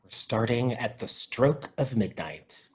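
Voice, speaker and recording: A man's voice: mid-range voice, animated, slow pace, a little mellow. A man playing an earnest, dutiful video store clerk and movie buff in a comedy script read. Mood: dramatic.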